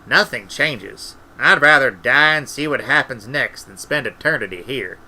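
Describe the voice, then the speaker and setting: A man playing a young man turning cold and deathly in an audiobook, speaking in a terse, deliberate, menacing way. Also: mid-range voice, animated, sharp tone, full character voice. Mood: bleak, insistent.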